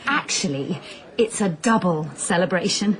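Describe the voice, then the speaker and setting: A woman's voice: on the low side, lively intonation, a little slow, low-quality recording. An actress playing an eager, gushing grown daughter who is proud of her husband, in a radio drama. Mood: pointed pride. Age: adult.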